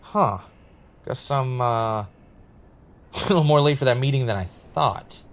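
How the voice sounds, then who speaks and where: A man acting as a wry, uneasy podcast host in an audio drama, with a casual, halting, conversational delivery. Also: mid-range voice, gravelly. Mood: awkward. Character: Ray, wry, uneasy male podcaster investigating his own death.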